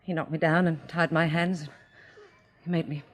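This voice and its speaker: A woman's voice: low voice, steady tone. Hesitant, shaken young Englishwoman. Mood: rueful, shaken. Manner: polite, hesitant, halting. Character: shaken, well-bred young woman survivor. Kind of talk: radio drama dialogue.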